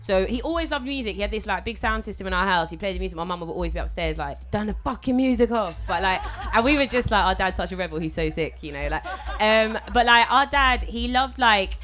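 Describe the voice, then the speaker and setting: A woman's voice: mid-range voice, animated, fast, few pauses, a bit bright. British woman hosting a festival panel, easygoing and reflective. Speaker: panel host.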